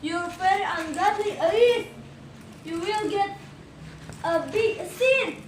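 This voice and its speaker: A woman as a kindly, preachy neighbour, high slow voice, puppet show, far-off echoey recording. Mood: scolding. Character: kind, pious, scolding female neighbour. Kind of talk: amateur puppet show acting. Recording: distant mic, echoey noisy room.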